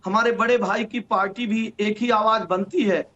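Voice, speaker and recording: A high-pitched man speaks as a political spokesman. His delivery is formal and emphatic, and the remote feed sounds dull and narrow. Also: fairly even intonation, moderate pace, pushed and bright. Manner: emphatic, declarative. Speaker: political party spokesman, debate guest.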